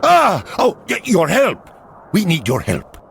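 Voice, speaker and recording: A man voicing an excitable little dwarf smith in a video game cutscene, exclaiming and pleading. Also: high-pitched, slow pace, gravelly, slight background noise, full character voice. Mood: pleading, alarmed.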